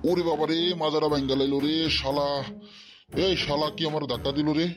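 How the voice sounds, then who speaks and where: A man's voice: a little high, fairly even intonation, fairly quick, loud music behind the voice, close to an everyday voice. A man voicing a hot-tempered, boastful cartoon gangster who talks threateningly in a Bangladeshi regional dialect. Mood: aggrieved, angry.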